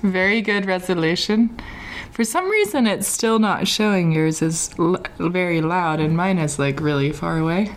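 A woman's voice: lowish voice, animated, normal speed. A young British woman co-hosting a podcast, telling a story in a casual, rambling way with many ums and likes. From Britain. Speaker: podcast co-host. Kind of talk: casual chat.

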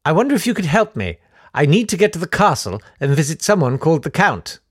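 A man's voice: on the high side, sing-song, fast, breathy edge, dry recording, studio quality, pitched above the performer's own voice. A man playing a polite, naive antiques valuer in a comic story, with prim, formal manners. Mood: polite, brisk.

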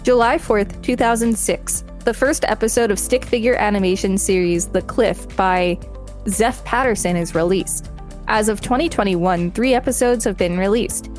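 A woman co-hosting a podcast reads an article aloud at an average pitch. Her delivery is steady and matter-of-fact. A faint guitar sits behind her voice. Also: normal speed. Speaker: podcast co-host reading an article. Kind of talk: casual read-aloud.

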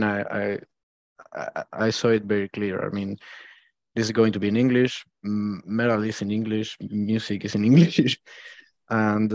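Brief: Argentinian male guest, Spanish native, somewhat low voice, halting answers, dry close sound. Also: darkish tone, no room sound. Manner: hesitant, lots of "uh, I mean", laughs often. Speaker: guest, metal vocalist. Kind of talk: casual interview answers.

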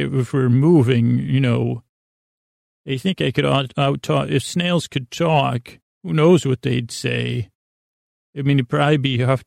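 A man with a creaky voice hosting a sleep podcast, talking in a meandering, halting monologue that circles back and repeats itself to lull listeners to sleep. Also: mid-range voice, darkish tone, no room sound, studio quality. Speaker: host of a bedtime-story sleep podcast.

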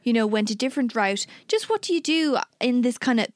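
A woman in her mid-twenties, co-hosting a podcast in relaxed, reflective conversation and laughing easily. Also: fairly high pitch, fairly quick, no echo. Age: 20s. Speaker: podcast co-host. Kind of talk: casual chat.

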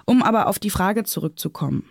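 A woman playing a defensive, weary police officer interviewed in an audio drama, haltingly repeating words and phrases. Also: mid-range voice, animated, vocal fry, soft background music. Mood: earnest, defensive.

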